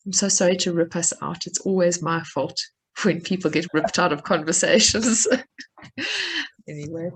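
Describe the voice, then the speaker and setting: A woman's voice: on the low side, moderate pace. A woman moderating an online discussion on a video call, apologetic and encouraging as she moves the group along. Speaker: session moderator. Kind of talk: hosting an online dialogue.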